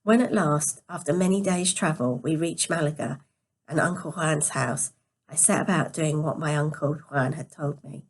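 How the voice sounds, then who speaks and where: A woman narrates a novel in a slightly low register. Her voice is quiet and tired, as if sleepy. She reads carefully, now and then tripping on a word and picking it up again. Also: normal speed. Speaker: storyteller reading a novel aloud. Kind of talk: scripted story narration.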